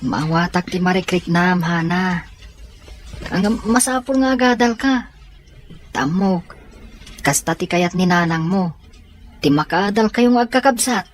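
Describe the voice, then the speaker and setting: A woman's voice: fairly low pitch, measured pace, long pauses, slight background noise, natural voice. A woman as a kind, encouraging Filipino adviser. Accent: Filipino, Ilocano. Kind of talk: audio drama dialogue.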